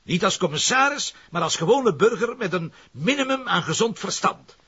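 A Flemish actor playing a dogged, world-weary middle-aged police inspector, insistent and wry, in a crime drama. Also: male voice, high-pitched, lively intonation, normal speed, sharp tone, husky, exaggerated voice. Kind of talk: broadcast crime drama acting. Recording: dull, hissy recording.

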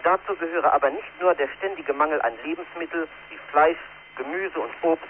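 A man's voice: fairly high pitch, a little fast, a bit bright, a little hiss or hum, close to an everyday voice, poor audio. A man playing a formal radio newsreader in a drama, delivering a scripted political report. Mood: neutral, formal. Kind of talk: scripted news reading.

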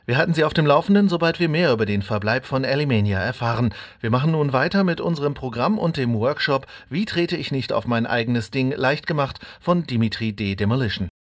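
An actor playing an earnest, formal news reporter reading a scripted mock bulletin. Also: male voice, mid-range voice, expressive, fairly quick, few pauses, close to an everyday voice. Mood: formal, deadpan.

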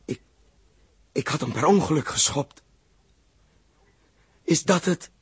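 A man's voice: a little high, expressive, takes it slowly, in a large hall. An actor playing a feverish, guilt-haunted man in a theatrical Dutch 1960s radio drama. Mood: brooding, haunted. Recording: muffled, rough 1960s radio recording.

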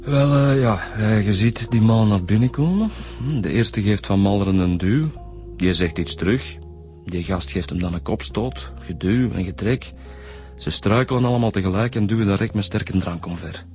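Male police inspector, radio drama, acted: informal sidekick, lowish voice, easygoing, light music behind. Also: moderate pace, darkish tone, lightly acted. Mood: casual, slightly defensive.

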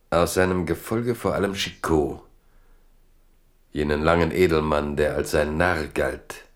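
A low-pitched man narrates a literary radio drama. He tells the story in measured phrases, using dramatic pauses.

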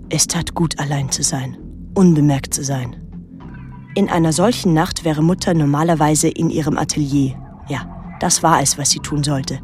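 An actress playing a troubled, watchful daughter who narrates an island mystery in a measured, literary way. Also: female voice, low voice, darkish tone. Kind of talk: literary radio drama narration and dialogue.

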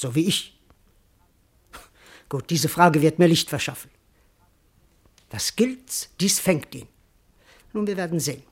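Cowardly servant, bewildered, long-winded, plaintive, comic indignation, classical radio play. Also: male voice, sits high. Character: bewildered, cowardly male servant doubting his own identity. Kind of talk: classical verse comedy radio acting.

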